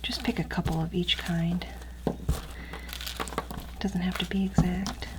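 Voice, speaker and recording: A woman with a slightly low voice gives soft, breathy ASMR instructions, often dropping to a whisper. She moves step by step in a hushed, halting way. There is clear background noise, with small clicks. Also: flat delivery. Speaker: ASMR performer playing a training instructor.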